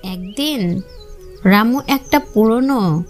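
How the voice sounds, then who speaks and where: A woman's voice: fairly high pitch, lively intonation, takes it slowly, soft background music. A woman narrating scripted moral stories for children. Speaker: storyteller.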